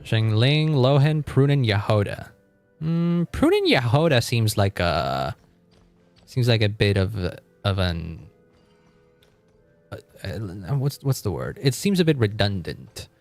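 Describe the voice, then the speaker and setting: A man's voice: fairly low pitch, sing-song, slow, soft background music. A man live-streaming a game, chatting casually and thinking aloud in an informal way, with casual swearing. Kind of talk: casual live-stream chat while gaming.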